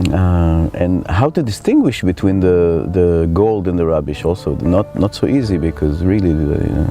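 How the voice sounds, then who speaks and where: A middle-aged man from Israel with an Israeli accent, telling his life story in a reflective, halting way as he searches for words. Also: sits low, warm tone. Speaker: spiritual teacher. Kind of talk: personal life story told to camera.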